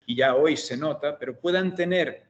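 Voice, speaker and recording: A middle-aged man from Galicia with a Galician Spanish accent, giving an online seminar as guest lecturer in a measured, hesitant way with frequent fillers and restarts. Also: on the high side, muffled recording. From Galicia, Spain. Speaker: guest lecturer, optometry researcher. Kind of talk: academic seminar talk.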